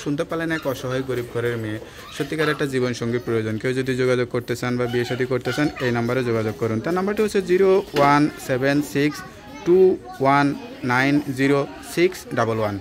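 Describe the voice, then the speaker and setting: A man's voice: medium pitch, racing, a crowd in the background. A man with a Bangladeshi accent conducting an informal interview as the presenter.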